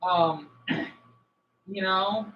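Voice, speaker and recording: High-pitched man, rambling and halting. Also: muffled recording. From United States. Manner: rambling, halting, jumping between topics. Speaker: live streamer. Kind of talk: casual chat with live viewers.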